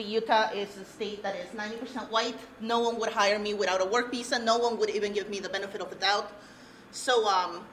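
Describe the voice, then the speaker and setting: A woman's voice: mid-range voice, a bit bright, a little hiss or hum, rough recording. A young woman from Peru giving a candid, earnest personal testimony as a workshop presenter, with light nervous laughs, in a room with an audience and no handheld mic. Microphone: no handheld mic, room recorder.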